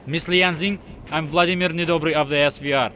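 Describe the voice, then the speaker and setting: A man's voice: a little high, fairly even intonation, brisk, background noise, lightly acted. An actor playing a formal male intelligence officer giving a briefing in a game cutscene.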